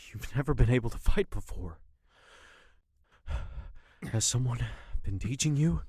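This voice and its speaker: A man's voice: medium pitch, lively intonation, a little slow, mellow, slightly rough, no room sound, studio quality. A man playing a guilty but principled subject of royalty in an audio drama, earnest and deferential in manner. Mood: resigned, earnest.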